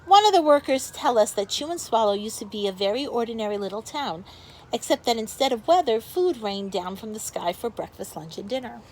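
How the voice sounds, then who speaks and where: A woman with a fairly high voice reads a storybook aloud as a teacher would for a young class. Her delivery is expressive, and she steps out of the text to explain things to the children. Noticeable background noise sits behind her voice. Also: brisk, poor audio. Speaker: teacher reading a picture book aloud to pupils.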